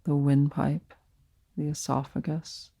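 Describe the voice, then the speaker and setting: Slow, creaky-voiced woman guiding meditation. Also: deep voice, flat delivery, no room sound. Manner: soft, soothing, unhurried, pausing between phrases. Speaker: meditation teacher. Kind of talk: guided meditation.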